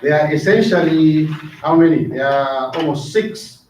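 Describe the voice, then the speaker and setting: Guy teaching a class, kinda high voice, far off mic, big echo. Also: unhurried, a little mellow, a little hiss or hum. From Zambia. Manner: asks the class questions, repeats words, drops in "right?" often. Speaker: trainer. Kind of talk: classroom lecture. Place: training classroom. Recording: echoey room, distant mic, dull sound.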